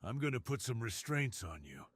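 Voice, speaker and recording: A man voicing an alluring, dominant crime boss in an intimate ASMR roleplay, speaking in a slow, teasing, hushed way. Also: mid-range voice, sing-song, husky. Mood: dominant, admiring. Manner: slow, teasing, hushed delivery that drops into whispers. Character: alluring, dominant, seductive male crime boss with a tender side.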